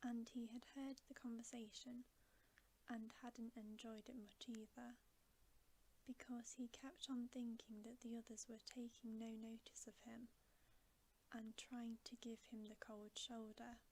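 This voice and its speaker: Whispering woman, very slow and soft. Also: fairly high pitch, flat delivery, breathy edge, low-quality recording. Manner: soft, hushed, unhurried whispering. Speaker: ASMR reader and channel host. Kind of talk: whispered ASMR bedtime story reading. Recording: faint background hiss.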